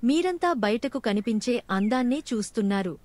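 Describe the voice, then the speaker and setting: Lady reading as a protective mama swan telling off the young ones, storybook style, highish voice. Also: lively intonation, normal speed, a little mellow, no echo, natural voice. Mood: admonishing. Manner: admonishing. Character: scolding, protective older mother swan. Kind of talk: storybook dialogue.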